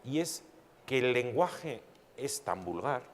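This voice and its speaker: A man's voice: mid-range voice, lively intonation, slow. A man speaks as a panelist, reflective and argumentative, often posing rhetorical questions. The sound is roomy with long hall reverb and a faint background hum. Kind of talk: panel discussion. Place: large hall at a public panel.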